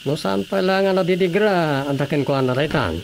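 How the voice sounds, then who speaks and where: Actor as concerned Ilocano villager, medium pitch. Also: a little fast, gravelly, close to an everyday voice. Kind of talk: radio drama acting. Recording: faint background noise.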